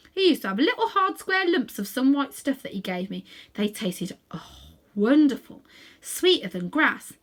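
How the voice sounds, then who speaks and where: A woman voicing a slow, thoughtful talking horse in a children's storybook, hesitant and repeating himself as he muddles along. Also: medium pitch, bright, forceful tone. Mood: fond recollection. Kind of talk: children's storybook character reading.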